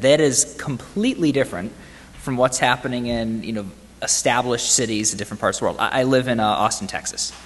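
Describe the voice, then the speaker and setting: A man's voice: mid-range voice, fairly bright tone, big-room sound. A man with a faded Boston accent gives a conference presentation. He talks in a conversational way, asks the audience questions and often adds "right?". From Boston area, US. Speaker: conference speaker. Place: large conference hall.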